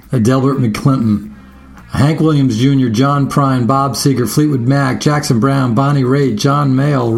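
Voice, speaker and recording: A man from the US East Coast hosting a guitar radio show, asking questions in a relaxed, chatty way with fillers and restarts. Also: mid-range voice, gravelly. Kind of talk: casual radio interview.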